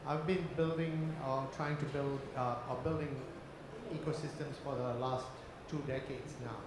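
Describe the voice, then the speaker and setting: A middle-aged man with a Malaysian accent asking a question from the floor in deliberate, broken phrases. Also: medium pitch, steady tone. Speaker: audience questioner, startup organisation head. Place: conference hall. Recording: very echoey, noisy conference hall.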